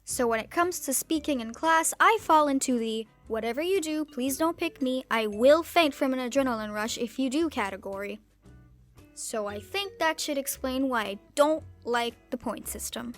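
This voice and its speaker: A woman's voice: high-pitched, animated, normal speed, faint music behind. A teenage girl from Turkey delivering a scripted vlog monologue in a wry, sarcastic way, with sudden comic outbursts. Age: teens. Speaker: YouTuber.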